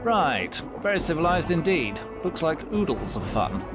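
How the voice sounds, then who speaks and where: A man voicing a posh, plucky English mouse gentleman in a video game, British-accented, well-mannered and excitable. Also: fairly high pitch, normal speed, music in the background. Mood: polite, eager. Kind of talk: video game cutscene acting.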